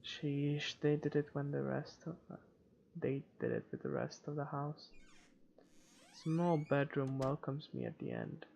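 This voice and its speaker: A man's voice: on the high side, expressive, measured pace, gravelly, darkish tone. A man reading visual novel text aloud as a let's player, stumbling now and then and correcting himself as he goes. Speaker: video game let's player. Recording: dull lo-fi home recording, faint noise.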